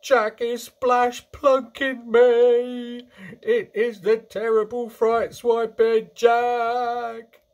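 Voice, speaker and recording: A man voicing a huge brutish storybook giant who is terrified, bellowing and begging in drawn-out cries. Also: very high-pitched, level tone, darkish tone, muffled recording, pitched above the performer's own voice, full character voice. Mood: panicked terror.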